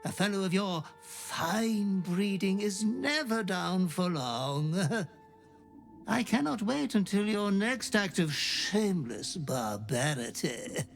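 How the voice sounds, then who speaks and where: A man's voice: high voice, sing-song, takes it slowly, creaky, soft background music, exaggerated voice. An actor playing an obsequious demon butler who gleefully fawns over his murderous master in a video game. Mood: gleeful fawning. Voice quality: fawning, gleefully ghoulish.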